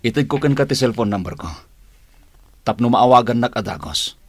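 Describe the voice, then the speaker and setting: An actor with a Filipino accent playing a commanding police chief in a radio drama, giving clipped, authoritative orders. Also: male voice, mid-range voice, animated, normal speed, slight background noise, lightly acted. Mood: firm, official. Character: commanding male police chief leading a manhunt.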